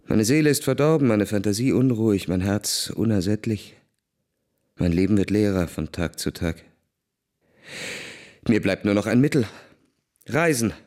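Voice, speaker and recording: A man's voice: fairly low pitch, expressive, moderate pace, long pauses, darkish tone, natural voice. An actor playing a jaded young aristocratic officer, confessing his boredom and restless melancholy in a radio play. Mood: bitter restless. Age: young adult. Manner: world-weary, confessional.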